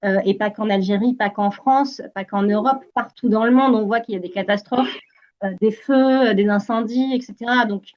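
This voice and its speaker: A woman's voice: on the high side, normal speed, dull audio. A woman in her forties from Algeria, a campaigner answering interview questions earnestly, with many hesitations and restarts. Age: 40s. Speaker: Greenpeace campaigner, guest.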